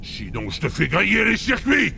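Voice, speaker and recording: A brutish alien thug for a video game, bellowing threats in a heavily gravelled, sharp voice. He is furious and swoops widely in pitch, with faint noise behind him. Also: huge pitch swings, moderate pace, full character voice. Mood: furious, threatening. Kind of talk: French video game dub.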